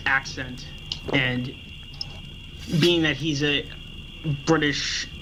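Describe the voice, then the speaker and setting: A man's voice: mid-range voice, steady tone, very slow. Chatty man over heavy noise. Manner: chatty, explaining with many fillers. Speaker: friend chatting during a game. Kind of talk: casual chat.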